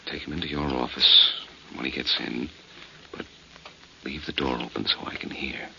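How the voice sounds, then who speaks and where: An actor playing a cool, quick-witted, wry male detective with clipped delivery in a 1940s radio drama. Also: extremely low pitch, steady tone, vocal fry, pushed and bright, a little hiss or hum, exaggerated voice. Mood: low, wary. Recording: dull 1940s radio recording.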